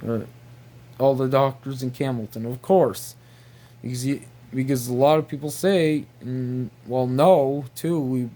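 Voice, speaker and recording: A man talks casually to his viewers in a mid-pitched voice. His pace is slow and rambling. He sounds exasperated and incredulous, often repeating himself and dropping in mild slang swears. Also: steady tone, mellow. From New Brunswick, Canada. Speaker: video creator.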